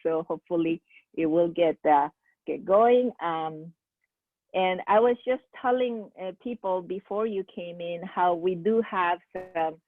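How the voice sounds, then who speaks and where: A woman from Peru hosts a small online seminar, her voice sitting low and coming through a thin, phone-like video-call line. She talks haltingly, restarting phrases and filling pauses with "uh" and "um". The sound is dry, with no room around it. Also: no room sound. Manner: halting, many fillers and restarts. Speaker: seminar host. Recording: tinny phone-like call audio.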